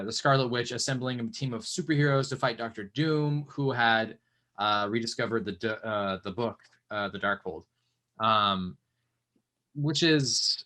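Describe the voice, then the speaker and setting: A man's voice: fairly low pitch, fairly bright tone. A man hosting a casual comics podcast, talking loosely with lots of ums and uhs. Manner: rambling, hesitant, many fillers. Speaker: podcast host.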